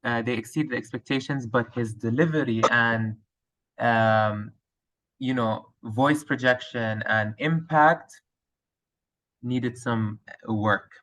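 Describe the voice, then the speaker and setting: A man's voice: mid-range voice, slow pace, a bit bright, low-quality recording. A male teacher with a Lebanese accent, answering interview questions thoughtfully with frequent fillers and restarts. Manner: hesitant, many fillers and restarts.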